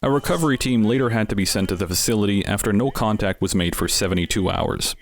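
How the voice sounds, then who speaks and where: A man's voice: lowish voice, fast talker, faint music behind. A man giving scripted narration as the host of an explainer series, with wry, dryly humorous asides.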